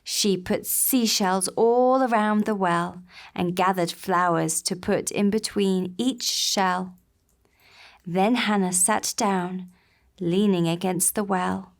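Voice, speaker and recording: Slow, gentle woman telling a children's story. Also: medium pitch, fairly even intonation. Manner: gentle, unhurried, simple storybook delivery. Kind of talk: scripted children's puppet-show storytelling.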